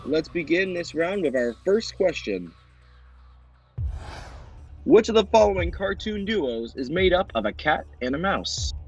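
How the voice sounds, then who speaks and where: A man's voice: high-pitched, sing-song, unhurried, long pauses. A man hosting a quiz show, addressing contestants directly in a presenter's delivery. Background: background music.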